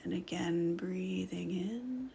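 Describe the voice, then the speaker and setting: A woman guiding a meditation in a soft voice that often slips into a whisper. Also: on the low side, slow pace, creaky, slight background noise. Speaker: meditation guide. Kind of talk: guided meditation narration.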